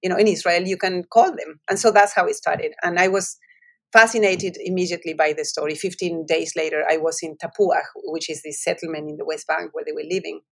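A woman's voice: fairly low pitch, steady tone, a little fast, creaky, fairly bright tone. Argentine woman with a Spanish accent. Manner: reflective storytelling with frequent restarts. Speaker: author. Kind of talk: interview answers.

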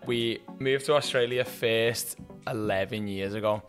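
A man's voice: fairly low pitch, unhurried, over music. A man in his thirties from England with a Liverpool accent, chatting casually and rambling a little as a podcast guest. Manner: chatty, rambling, laughs often. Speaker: podcast guest, former student. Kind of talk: casual interview chat.

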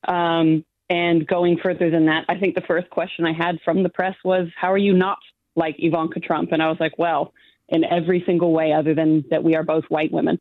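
A woman's voice: low-pitched, a little fast, no room sound. A woman guest, not from New Zealand, speaking confidently in a remote interview and laughing often. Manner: animated, laughs often, self-assured. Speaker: guest, show judge. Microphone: phone or video call line. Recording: thin phone-like line.

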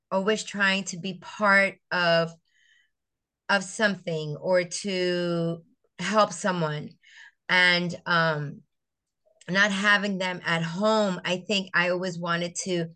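Lowish voiced middle-aged woman from st croix, telling her story slow and thoughtful, lots of ums. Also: fairly even intonation, sharp tone. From US Virgin Islands (St. Croix). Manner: reflective, storytelling, with frequent fillers and restarts. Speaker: guest, educator and business founder. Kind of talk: conversational interview.

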